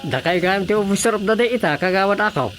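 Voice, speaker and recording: A man's voice: high-pitched, animated, fast, loud music behind the voice. An actor playing a nosy, teasing man in a radio comedy drama, with an Ilocano accent and a sly, questioning delivery. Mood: curious. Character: nosy, teasing man needling a village councilor.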